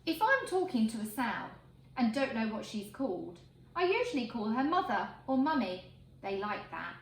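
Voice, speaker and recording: A woman reading a picture book aloud to children in an expressive, emphatic way. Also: on the high side, moderate pace. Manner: expressive, emphatic phrasing for young listeners. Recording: roomy echo, faint background noise.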